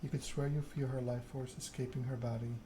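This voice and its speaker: A man's voice: medium pitch, level tone, brisk. Evenly spoken man narrating, ambient noise behind. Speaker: streamer reading a game aloud. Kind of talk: ASMR narration of a story game. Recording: clear background ambience noise.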